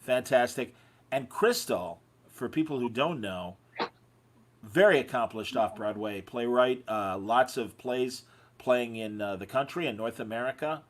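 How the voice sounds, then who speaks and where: A man's voice: mid-range voice, unhurried, a little hiss or hum. A man hosting a podcast, chatting casually and effusively with his guests. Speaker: podcast host. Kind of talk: casual interview chat.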